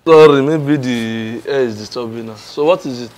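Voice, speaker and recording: An actor with a Nigerian accent playing an insistent, bossy husband in a comedy skit. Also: male voice, medium pitch, moderate pace, a crowd in the background, close to an everyday voice. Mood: coaxing, impatient. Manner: insistent, repetitive. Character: bossy male householder, husband suspecting betrayal.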